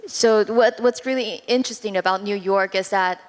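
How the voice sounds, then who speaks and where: A woman's voice: mid-range voice, moderate pace. Panelist woman, chatty and casual, clean voice ringing in a big hall. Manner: chatty, many fillers. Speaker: panelist, event organizer. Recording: long reverb of a large hall.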